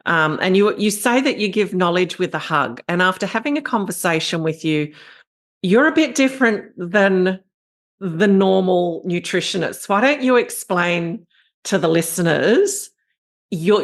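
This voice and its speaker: A woman's voice: fairly low pitch, animated, measured pace. A woman hosting a podcast, chatting in a rambling, effusive way as she introduces and questions her guest. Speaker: podcast host and shamanic leadership coach. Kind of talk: casual interview chat.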